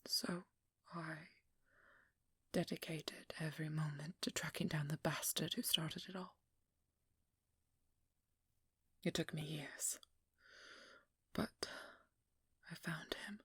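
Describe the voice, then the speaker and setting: A woman playing a haunted, determined vampire hunter in an ASMR audio role-play, confessing slowly and haltingly in a breathy, husky whisper, with pain in her delivery. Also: deep voice, steady tone, a bit bright, no room sound, exaggerated voice. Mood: bitter, haunted resolve. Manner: slow, halting, pained confession in short broken phrases.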